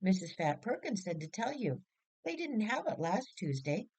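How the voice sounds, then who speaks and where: A woman narrator voices an eager family member in a storybook. The delivery is brisk, folksy and chattering, often lifting higher in pitch. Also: sing-song, darkish tone, husky. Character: eager chattering family members greeting their returning mother.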